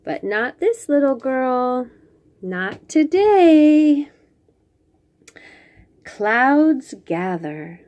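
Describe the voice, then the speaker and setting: Female children's storyteller, very unhurried, animated and expressive, picture-book read-aloud with conversational asides. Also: high voice, dull audio. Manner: expressive, drawn-out reading with chatty asides.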